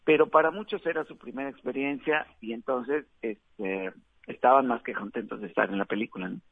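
A man from Mexico with a Mexican accent, a film director giving a relaxed, chatty radio interview with frequent fillers. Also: medium pitch, a little fast, vocal fry, no room sound. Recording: tinny dry phone line.

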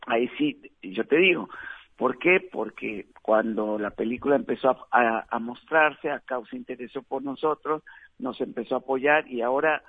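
A man from Mexico with a Mexican accent, a film director giving a relaxed, chatty radio interview with frequent fillers. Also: medium pitch, moderate pace, no echo. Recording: tinny dry phone line.

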